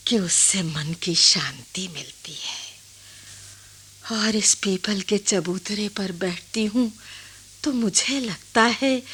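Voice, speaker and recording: A woman acting an old village grandmother in a Hindi radio drama, calm and philosophical, speaking in a measured, reflective way with dramatic pauses. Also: mid-range voice. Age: older.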